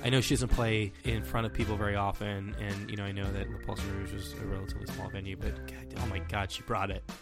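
Man, podcast host, lowish voice, chatty outro, music clearly under him. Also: little pitch movement, fast talker, hardly any pauses. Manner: chatty, enthusiastic, repeats words as he goes. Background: music playing.

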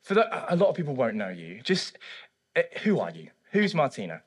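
A man preaching a sermon and interviewing a church member on stage, emphatic and swinging between hushed and loud. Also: medium pitch, huge pitch swings, brisk, husky. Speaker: pastor. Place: church service.

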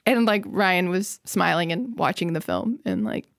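A woman comedian, a podcast guest who talks in a quick, expressive, jokey way. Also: on the low side, dry recording, clean studio sound. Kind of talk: casual podcast chat.

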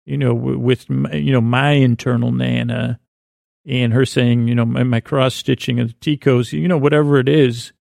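A man hosting a podcast, talking in a slow, meandering, lulling way as he drifts from thought to thought. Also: medium pitch, steady tone, creaky, a little mellow, dry recording, studio quality. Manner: slow meandering soothing delivery. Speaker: podcast host. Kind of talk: rambling sleepy podcast intro monologue.

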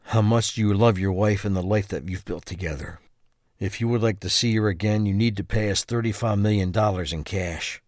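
An actor playing an ordinary husband caught up in a crime audio drama. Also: male voice, lowish voice, brisk, darkish tone, no echo, clean studio sound, close to an everyday voice. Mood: cold, reading. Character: distressed, defensive husband of a kidnapped wife.

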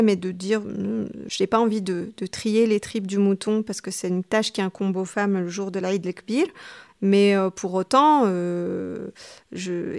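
A woman novelist from Tunisia answering interview questions thoughtfully, often pausing to search for her words. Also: medium pitch, darkish tone. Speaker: novelist guest.

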